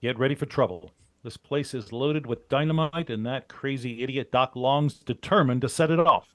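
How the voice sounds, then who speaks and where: An actor playing a stern, commanding team leader with clipped delivery in an old-time radio drama. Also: male voice, medium pitch, normal speed, dry recording, lightly acted. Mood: tense warning. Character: stern, no-nonsense male adventurer, team leader. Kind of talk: 1940s radio acting.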